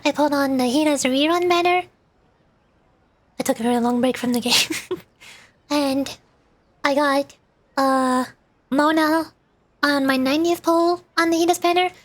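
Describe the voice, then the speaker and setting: A woman's voice: sits high, fairly even intonation, normal speed, long pauses. A woman hosting a gaming livestream, chatting casually to viewers in a rambling, playful way. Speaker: livestream host, VTuber. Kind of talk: casual chat to stream viewers while gaming. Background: background music.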